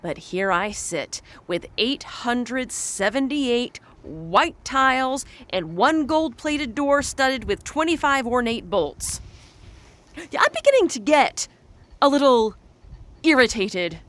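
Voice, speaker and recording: An impatient soul stuck waiting, played by a woman, speaks slowly and precisely. The manner is dry and simmering with exasperation, in an audio drama monologue. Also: on the high side, big pitch swings, a bit bright, heavily acted. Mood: irritated. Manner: measured, precise, dryly exasperated.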